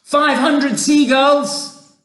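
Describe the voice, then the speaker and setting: A man's voice: sits high, measured pace, voice pushed up. A man voicing an urgent, commanding boy in a storybook. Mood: excited shouting. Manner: urgent, breathy whispers and excited shouts. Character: young boy James, urgent, commanding leader.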